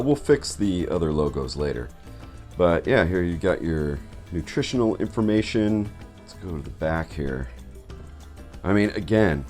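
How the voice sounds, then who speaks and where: Low-voiced man narrating over music. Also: darkish tone. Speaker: host, artist. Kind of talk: scripted video narration.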